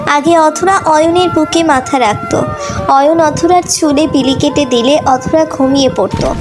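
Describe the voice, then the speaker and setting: High-pitched woman narrating a love story. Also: rough recording. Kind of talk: scripted romantic story narration. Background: background music.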